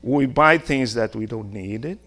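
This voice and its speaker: Slow-paced Brazilian man preaching a sermon. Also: medium pitch, fairly bright tone. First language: Portuguese. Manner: emphatic, with short phrases and rhetorical questions.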